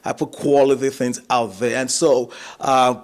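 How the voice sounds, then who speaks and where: A man from Nigeria talks easily in a casual interview as a guest musician. His voice sits at an ordinary middle pitch. He chuckles often and strings his thoughts together with fillers and restarts. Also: level tone, measured pace.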